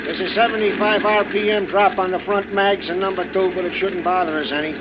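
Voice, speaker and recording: Man acting a high-pitched, cocksure flight engineer. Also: steady tone, brisk, natural voice. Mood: businesslike. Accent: American. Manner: plainspoken, technical. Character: cocksure, practical American bomber flight engineer. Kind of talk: old-time radio drama acting. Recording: dull vintage radio, engine noise.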